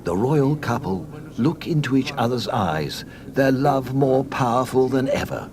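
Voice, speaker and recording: A man narrating a scripted read-along storybook in a mock-solemn, deadpan comic manner. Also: mid-range voice, unhurried, noisy background.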